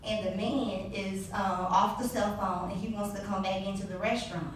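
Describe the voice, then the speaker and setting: A young adult woman telling a personal story live on stage, animated and sassy with laughing asides. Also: medium pitch, normal speed, slight background noise. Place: live event venue. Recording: echoey hall, distant mic.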